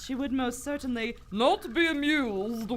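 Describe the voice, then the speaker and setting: A woman's voice: high-pitched, unhurried, a little hiss or hum. A woman playing a bold, dry, commanding British adventuress aunt in a live radio adventure serial. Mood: dry, composed. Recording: loud music under a live stage performance.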